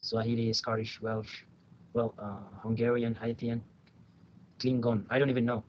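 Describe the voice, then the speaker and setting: A man talks hesitantly over a video call, stopping and restarting with plenty of fillers. His voice sits at a middling pitch. The call audio is dull and lo-fi, with a faint hiss underneath. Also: completely flat delivery, a little mellow. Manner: halting, many fillers and restarts. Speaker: language learner. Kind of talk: casual online tutoring chat.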